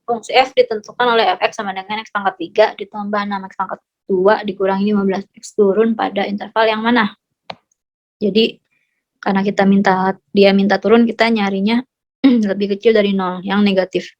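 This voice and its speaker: A woman's voice: medium pitch, little pitch movement, fairly quick, darkish tone. A woman tutoring math in a casual, chatty way, often asking whether her students follow. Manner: chatty, checks understanding often. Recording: slightly dull lo-fi audio.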